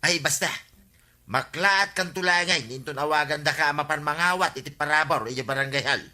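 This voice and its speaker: A simple, eager Ilocano village man in a radio comedy. His voice is very bright and edgy, pitched fairly high. He exclaims excitably. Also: a little fast. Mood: eager, scheming.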